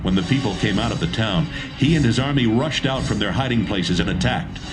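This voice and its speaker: A man narrating a dramatised Bible reading in a steady, measured way. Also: lowish voice. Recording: narration over music.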